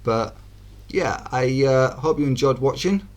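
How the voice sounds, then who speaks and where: Hoarse man narrating racing. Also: mid-range voice, measured pace, darkish tone. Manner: easygoing, chatty, apologetic. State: hoarse, croaky voice. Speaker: gaming channel host. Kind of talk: racing gameplay commentary. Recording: game engine noise behind. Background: racing car engine sounds.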